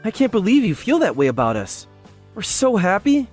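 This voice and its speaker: A man's voice: high-pitched, huge pitch swings, normal speed, darkish tone. A man playing an earnest, polite young hotel owner in a manga dub, both narrating and speaking in character. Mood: grateful. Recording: background music under the voice.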